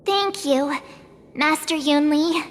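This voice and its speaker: A woman's voice: sits high, slow. A woman voicing an earnest, bubbly teenage girl adventurer in an anime-style game. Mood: earnest, determined. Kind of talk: English anime game voice acting.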